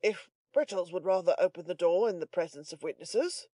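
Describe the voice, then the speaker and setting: Casting: female reader as a self-important old manservant, blustering and excitable, audiobook character work, dry close recording. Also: on the low side, brisk, dry recording. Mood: pompous composure. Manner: pompous, blustering. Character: pompous, self-important old male servant, excitable and boastful.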